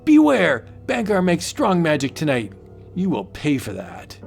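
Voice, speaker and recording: A man's voice: fairly high pitch, wildly swooping pitch, a little slow, creaky, faint music behind, voice pushed up, full character voice. A man playing a proud, menacing sorcerer chieftain with an ominous, declamatory delivery in a comic book audio drama. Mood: threatening.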